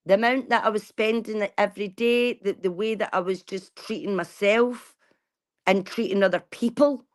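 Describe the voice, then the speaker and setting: A woman's voice: medium pitch, steady tone, normal speed. A woman, talking bluntly and casually to her viewers and swearing freely. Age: 40s. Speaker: vlogger. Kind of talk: casual chat.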